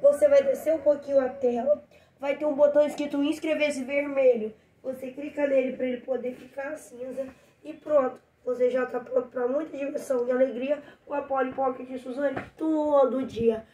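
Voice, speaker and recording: A woman's voice: high-pitched, fairly even intonation, moderate pace, mellow, close to an everyday voice. A girl voicing a talkative doll with a cold. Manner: talkative, addresses viewers. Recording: noisy home recording.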